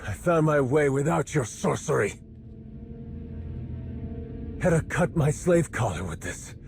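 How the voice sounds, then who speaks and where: A bitter, proud warrior for a game cutscene. He speaks slowly but with big swings in pitch, defiant and intense, over prominent music. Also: big pitch swings, vocal fry, heavily acted. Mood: angry, proud. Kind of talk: dramatic video game acting.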